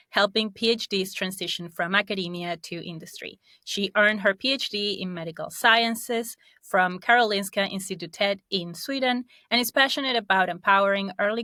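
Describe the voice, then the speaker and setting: A woman's voice: mid-range voice, sharp tone, dry recording, clean studio sound. A woman hosting a podcast interview, upbeat and asking curious questions. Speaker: podcast host and career coach.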